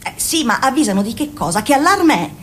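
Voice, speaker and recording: A woman's voice: high voice, sing-song, quick pace, fairly bright tone, a little hiss or hum. A pushy, chatty radio-play mother. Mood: nagging, pushy. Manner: nagging, pushy, overly sweet. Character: fussy, chatty middle-aged mother selling lipsticks by phone.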